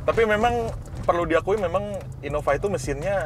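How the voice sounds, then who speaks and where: A young man hosting a car review, chatting casually from the driver's seat on a lapel mic, excitable and quick to exclaim. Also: sits high, a little hiss or hum. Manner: excitable, exclaims and laughs loudly while driving. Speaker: automotive vlogger host. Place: inside a car on the road. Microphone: clip-on lapel mic.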